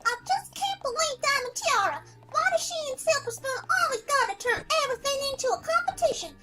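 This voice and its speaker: A woman's voice: very high-pitched, expressive, brisk. A woman voicing a feisty, indignant little country filly, in a fan fiction reading. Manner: folksy, exasperated. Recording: prominent music behind the voice.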